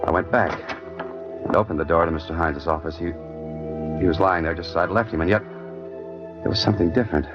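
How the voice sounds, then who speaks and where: A man's voice: deep voice, fairly even intonation, brisk, pauses often, close to an everyday voice. A man playing an earnest, uneasy young office clerk in an old radio drama, hesitant, polite and plain-spoken. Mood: tense, confessional. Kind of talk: 1940s radio drama acting with first-person narration. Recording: muffled old radio recording with music.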